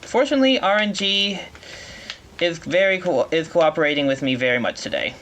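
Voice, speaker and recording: A man giving live speedrun commentary, explaining as he plays in a halting way, often rephrasing mid-sentence. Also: fairly high pitch, lively intonation, a bit bright, a little hiss or hum. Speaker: speedrunner playing at a marathon.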